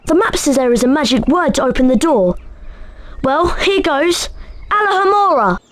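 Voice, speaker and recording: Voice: sits high, expressive, moderate pace, fairly bright tone. A performer playing an eager, cautious, chatty young schoolboy wizard in a video game. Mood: excited curiosity. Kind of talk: video game character acting.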